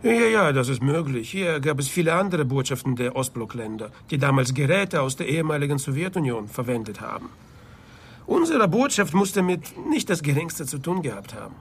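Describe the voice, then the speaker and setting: Casting: slippery embassy official, radio play, fairly high male voice, sardonic and formal, faint ambience behind. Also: big pitch swings, a little fast, a little hiss or hum. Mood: sardonic, evasive. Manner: deflecting, ironic, coolly formal. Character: evasive, wry, dismissive male embassy diplomat. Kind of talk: German radio drama acting.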